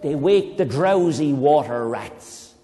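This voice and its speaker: An Irish man reading a poem aloud to children, reciting slowly and dramatically and breaking off into playful, chatty explanations. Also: on the high side, animated, mellow. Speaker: poetry reader leading children. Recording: long reverb in a hall.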